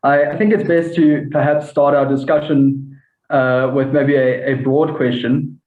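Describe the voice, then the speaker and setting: A man's voice: fairly high pitch, level tone, measured pace. A man moderating an online panel, speaking politely and hesitantly with many fillers. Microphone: video call. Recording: tinny, echoey call audio.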